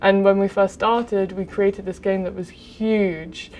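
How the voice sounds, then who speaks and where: A young adult woman, a game director, explaining her work in an interview with many pauses of "um". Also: mid-range voice. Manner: even, explanatory, with frequent "um". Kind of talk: interview answers.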